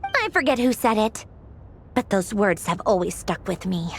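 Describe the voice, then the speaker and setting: A woman's voice: medium pitch, expressive, normal speed, slight background noise, lightly acted. A woman voicing a proud, irritable, overworked young woman official in a game, emphatic and sardonic. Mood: wry, exasperated. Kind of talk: dramatic game voice acting. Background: background music.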